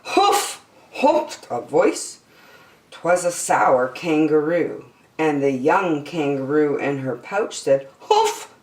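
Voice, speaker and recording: A woman narrating a children's storybook expressively, with measured, dramatic phrasing. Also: sits low, darkish tone, roomy sound, distant mic, rough recording. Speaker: storytime host, reader. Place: indoors, book filmed on a tabletop.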